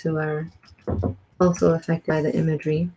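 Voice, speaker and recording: A woman's voice: low-pitched, little pitch movement, normal speed, warm tone, a little hiss or hum, rough recording. A woman co-hosting a casual livestream, chatting and reading viewer comments aloud. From Wisconsin. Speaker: co-host of a livestream.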